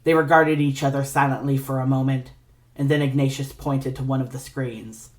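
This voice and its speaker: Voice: medium pitch, fairly even intonation, moderate pace. A narrator reading scripted fiction aloud as an audio story.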